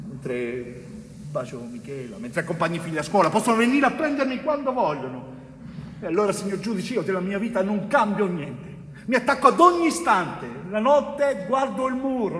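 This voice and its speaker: An actor playing a wrongly convicted Sicilian bricklayer in a theatrical monologue, tormented and tender, pleading haltingly with repetitions and dramatic pauses. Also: male voice, high voice. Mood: desperate, agitated. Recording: echoey hall, distant mic, faint noise.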